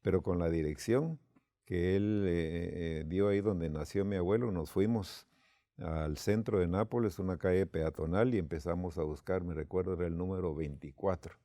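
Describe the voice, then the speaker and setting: A man's voice: low voice, normal speed, warm tone, dry recording, studio quality. A man from Guatemala, telling a personal story unhurriedly with quoted dialogue, as a church leader.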